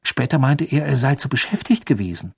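A man's voice: mid-range voice, expressive, brisk, vocal fry, breathy edge, no echo, studio quality, voice pushed up. A man voicing an earnest, straightforward teenage boy in an audiobook, giving plain answers. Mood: explaining.

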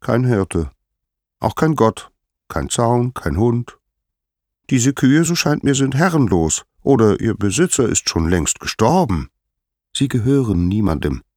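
A man's voice: mid-range voice, very animated, unhurried, darkish tone, no echo, clean studio sound, pitched above the performer's own voice. A man voicing a sly, playful little boy god who schemes and feigns innocence in a storybook tale. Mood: delighted, scheming. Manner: sly, wide-eyed, playful.

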